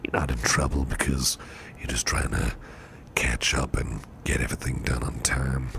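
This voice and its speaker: A man playing a flirtatious, protective businessman boss in a soft, slow, soothing ASMR roleplay, with a deep, gravelly near-whisper. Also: level tone, slight background noise, exaggerated voice. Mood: soothing, attentive. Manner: slow, soothing, teasing, repeats phrases softly.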